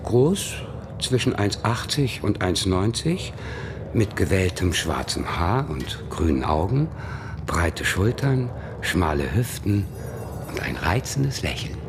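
A man's voice: sits low, sing-song, slow pace, music in the background, exaggerated voice. An actor playing a coy, evasive male witness under police questioning in a German radio crime drama, by turns dreamy and defensive.

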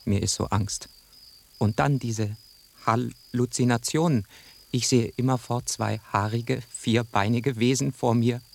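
An actor playing a timid, bewildered young pet tomcat, polite, naive and easily panicked, in a radio drama. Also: male voice, mid-range voice, fairly even intonation, measured pace, creaky. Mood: dazed, frightened. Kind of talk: German radio drama acting.

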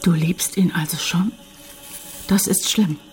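An actress playing a gentle, submissive, anxious middle-aged mother in a German radio drama, soft and resigned. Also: lowish voice, fairly even intonation, a little slow, faint music behind, lightly acted. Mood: alarmed.

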